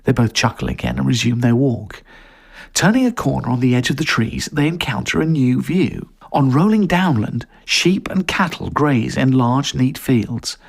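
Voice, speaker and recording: A man's voice: medium pitch, lively intonation, normal speed, vocal fry, husky. A man reading a scripted audio story as narrator.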